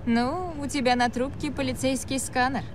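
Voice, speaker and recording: Actress as cool, high-voiced female hacker. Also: moderate pace, background noise, lightly acted. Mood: cool, confident. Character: cool, self-assured female hacker of street racing underworld. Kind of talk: Russian game dub dialogue.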